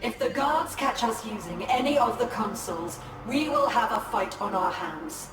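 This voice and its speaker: A woman's voice: on the high side, expressive, moderate pace, lightly acted. A woman playing a proud, solemn alien seer and commander in a video game, speaking formally. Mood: grave, resolute. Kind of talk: dramatic video game acting. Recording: long echo, distant, music behind.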